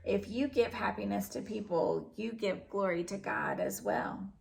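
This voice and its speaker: A woman voicing a gentle, pious wandering friar in a kindly, earnest way for a storybook reading. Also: mid-range voice, a little slow, creaky. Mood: gently earnest.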